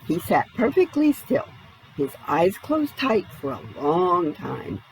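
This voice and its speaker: A woman's voice: on the low side, sing-song, slow pace, a little mellow, a little hiss or hum, poor audio. A woman narrating a children's storybook expressively, with a dramatic read-aloud delivery. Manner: dramatic, asks the listener questions. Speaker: storybook reader.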